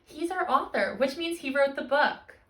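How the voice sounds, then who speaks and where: Bright-voiced woman reading storytime, roomy echo. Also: high-pitched, moderate pace, recorded from a distance. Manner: animated, expressive teacher talk with simple questions and explanations. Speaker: teacher hosting a children's storytime. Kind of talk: read-aloud of a picture book for young children.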